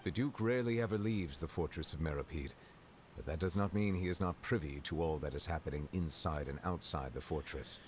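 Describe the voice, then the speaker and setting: A very low-voiced, stately male judge from a video game. He is formal, reserved and deliberate, with faint noise behind him. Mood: cautionary. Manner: formal, deliberate, courtly wording. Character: dignified, reserved male chief justice, measured and formal.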